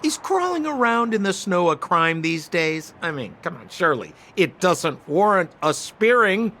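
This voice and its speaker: A man's voice: sits high, big pitch swings, normal speed, pushed and bright, exaggerated voice. A man playing a sly, theatrical, ingratiating treasure-hunting con man in a video game. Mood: wounded, theatrical.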